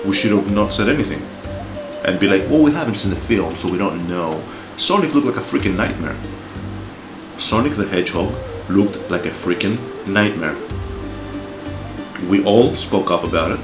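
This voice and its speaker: A deep, low-pitched man in his forties with a Greek-Australian accent, sounding off in a heated, profane rant. He stumbles and repeats words as he builds his case. His voice rings in an echoey room with music playing behind. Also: animated, normal speed. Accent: Greek-Australian English. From Greece, raised in Australia. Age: 40s. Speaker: commentator. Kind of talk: opinion rant.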